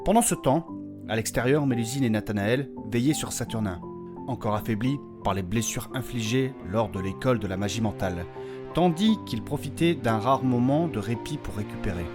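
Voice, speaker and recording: Guy as a dramatic fantasy narrator, medium pitch, solemn scripted read with music behind. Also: lightly acted. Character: dramatic storyteller recapping a fantasy quest.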